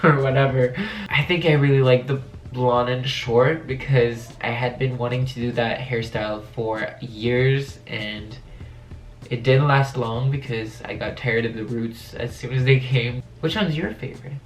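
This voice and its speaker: A man's voice: medium pitch, steady tone, measured pace, roomy sound, low-quality recording. A young man with a French accent chatting casually to camera as a video blogger, his voice affected by allergies. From France. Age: young adult.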